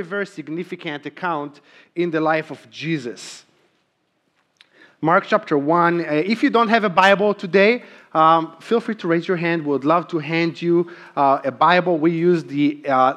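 Earnest, slightly high-voiced male pastor. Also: fairly bright tone. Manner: earnest, with frequent ums and emphatic repetition. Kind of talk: sermon. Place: church sanctuary.

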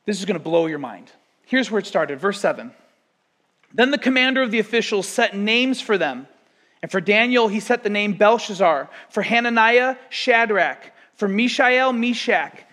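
Pastor guy preaching, high voice, chatty and storytelling, talks right to the room. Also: moderate pace. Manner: conversational, storytelling, animated asides to congregation. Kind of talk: sermon.

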